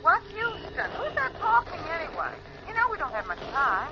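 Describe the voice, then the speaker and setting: An actress playing a woman on another spacecraft who talks in a lively, familiar, puzzled way. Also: extremely high pitch, normal speed, lo-fi sound, music in the background, exaggerated voice. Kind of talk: radio drama acting.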